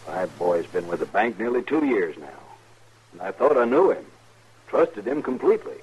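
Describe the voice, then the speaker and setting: A man's voice: lowish voice, very animated, moderate pace, a little mellow, breathy edge, exaggerated voice, rough recording. A man playing an earnest, regretful American bank manager in a frontier town, in 1950s radio western style. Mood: earnest, dismayed. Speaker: radio drama actor. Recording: old radio recording with faint noise.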